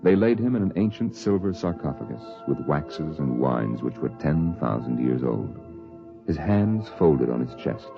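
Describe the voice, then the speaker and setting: An actor as a grave literary storyteller, narrating a 1950s radio drama in a measured, solemn manner. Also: male voice, very deep voice, very animated, gravelly, music in the background, heavily acted. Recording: dull vintage 1950s radio recording.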